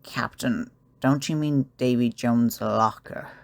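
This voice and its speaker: An actor playing a blunt, exasperated tavern keeper in an audio drama, with a dry, firm manner. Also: male voice, mid-range voice, slow pace, creaky.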